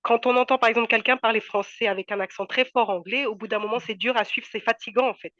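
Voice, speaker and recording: A woman's voice: a little high, fairly even intonation, fast talker, a bit bright, no room sound. Hesitant French woman on a lo-fi call. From France. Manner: hesitant, many fillers and restarts. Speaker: guest. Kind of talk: casual conversational interview.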